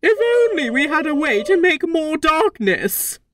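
A woman voicing a flamboyant, smug robot queen in a video game fan dub, with deliberate, theatrical delivery. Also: high-pitched, big pitch swings, background noise. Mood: grand musing. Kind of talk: fan-dubbed video game villain monologue. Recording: background music under the voice.

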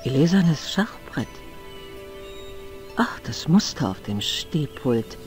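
Elderly aristocrat for adventure game: stern, proud, formal delivery with animated intonation, light music underneath. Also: male voice, on the high side. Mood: dismissive, astonished. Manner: formal, haughty, measured. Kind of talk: adventure game voice acting, German dub.

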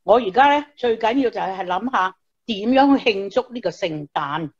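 An actress with a Hong Kong Cantonese accent playing a grumbling, skeptical, fun-loving young friend in a radio drama, with drawn-out, sighing delivery. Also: female voice, medium pitch, expressive, breathy edge, dull audio. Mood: dismissive, teasing.

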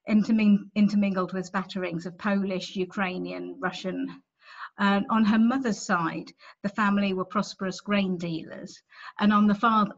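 A middle-aged woman with an English accent giving a virtual book presentation from home, talking conversationally with frequent ums and self-corrections. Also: medium pitch. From England. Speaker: author giving a library talk. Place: home room, indoors. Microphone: webcam on a video call. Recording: slightly dull webcam audio.

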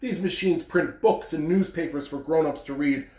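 A man's voice: a little high, moderate pace, a little mellow, close to an everyday voice. A man voicing a big, self-important storybook freight engine that bellows pompously. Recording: roomy living-room echo.

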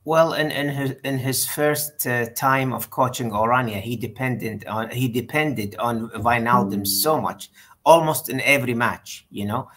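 A middle-aged man on a video call giving careful football opinions, with a deliberate, slightly formal way of speaking and many pauses. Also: medium pitch, a bit bright. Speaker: co-host.

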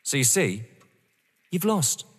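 A man acting a defiant, contemptuous, wounded rival in an audio drama, husky and emphatic. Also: medium pitch, big pitch swings, slow pace, full character voice. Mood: wounded defiance. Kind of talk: dramatic stage-play acting. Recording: long echoing reverb.

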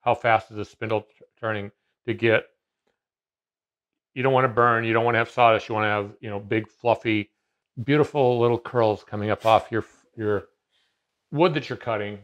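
A man's voice: lowish voice, fairly even intonation, moderate pace, long pauses, fairly bright tone, dull audio. A man in his fifties explaining a CNC machine in casual conversation, talking in a loose, rambling way with many fillers and restarts, seated in a large garage workshop.

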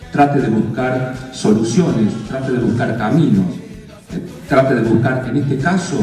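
A man's voice: mid-range voice, mellow. A man in his fifties with an Argentine accent delivering a public opening address as mayor. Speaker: mayor giving opening speech. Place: stage of a community hall. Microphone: handheld microphone, heard from afar. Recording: very echoey hall PA, distant, music.

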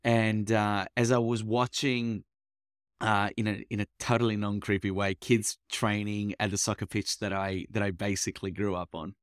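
Low-voiced man rambling about coaching. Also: little pitch movement, normal speed, clean tone, no room sound, clean studio sound. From Italy. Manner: rambling, many fillers and restarts. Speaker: mindset coach, podcast guest. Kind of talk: casual interview chat.

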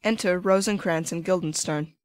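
A woman's voice: fairly low pitch, moderate pace, darkish tone, dry recording. A woman giving scripted narration, reading out the stage directions of a play.

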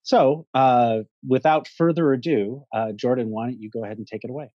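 A man in his forties moderating a live stream, introducing people in a friendly, quick way over a video call with earbuds. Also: mid-range voice, fairly even intonation, no room sound. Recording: dull, dry video call audio.

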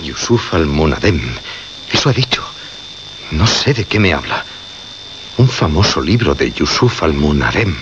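A haunted, agitated man in a Spanish suspense radio play. His Castilian voice is deep and halting, with lively swings. The recording is dull, with clear noise. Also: exaggerated voice. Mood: bewildered. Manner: halting, brooding, stammering. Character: haunted, bewildered male radio host, agitated.

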